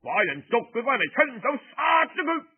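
A man's voice: very high voice, very animated, moderate pace, slightly rough, narrow, tinny audio, voice pushed up, exaggerated voice. A man with a Cantonese accent voicing an enraged, imperious duke who interrogates fiercely in an oral storytelling. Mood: furious. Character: enraged, imperious duke demanding the culprit's death.

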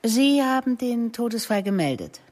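A woman's voice: a little high, sing-song, moderate pace, a little mellow, no echo. A woman playing an observant, dry police detective in a radio crime drama, speaking in a clipped, matter-of-fact way. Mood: probing. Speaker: actress playing the lead detective and narrator. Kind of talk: radio crime drama, terse inner monologue and questioning.

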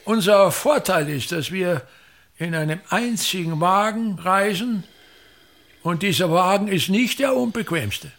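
A man's voice: high voice, measured pace, a bit bright. An actor playing a pompous, contemptuous old male theater director in a radio drama, with an Austrian accent and a declamatory, scornful way of talking. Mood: complaining.

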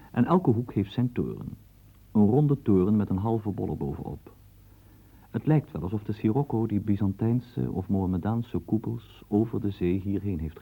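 A man's voice: lowish voice, creaky, slightly rough. A man narrating a radio play and guiding the listener through the scene like a storyteller. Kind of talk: scripted radio drama narration. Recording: tinny lo-fi old radio recording.

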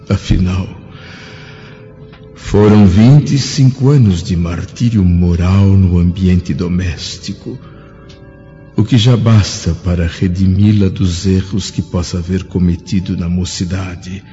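A man's voice: deep voice, warm tone. An actor playing a grave, proud Roman senator in his fifties in a radio drama, with solemn, ponderous, formal phrasing. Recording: dull, very reverberant audio with music behind.

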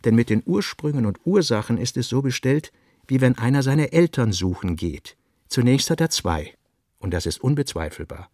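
A man reading essayistic literary prose for radio with a measured, deliberate delivery. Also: mid-range voice, expressive, a little mellow, no echo, studio quality. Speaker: narrator.

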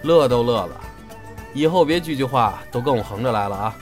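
A man's voice: mid-range voice, animated, brisk, faint music behind, natural voice. A man playing a good-natured but blunt senior comedy apprentice in an audio drama, bantering. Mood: teasing. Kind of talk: audio drama acting, colloquial dialogue.

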